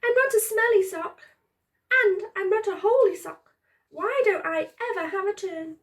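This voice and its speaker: Woman voicing a small wistful boy sock, high and plaintive. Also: normal speed, distant mic. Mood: indignant, plaintive. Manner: plaintive, earnest. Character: small wistful male odd sock, eager and nervous. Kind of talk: children's picture book read aloud.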